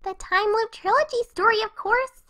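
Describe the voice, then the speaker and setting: A woman's voice: very high-pitched, normal speed, pushed and bright, no echo, studio quality. A woman voicing a hyperactive, cheerful, scatterbrained young female cartoon pony who chatters breezily to the listener in a fan fiction reading. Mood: chirpy, breezy. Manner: bubbly, chatty, breezy narrating straight to the listener.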